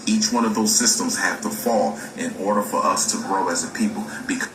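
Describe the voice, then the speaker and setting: A man's voice: low voice, normal speed. Echoey, impassioned man in his forties. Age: 40s. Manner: emphatic, repeats phrases, challenges the listener, swears. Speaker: speaker on a spiritual talk video. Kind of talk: impassioned, unscripted preachy talk. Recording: echoey, distant mic with music behind.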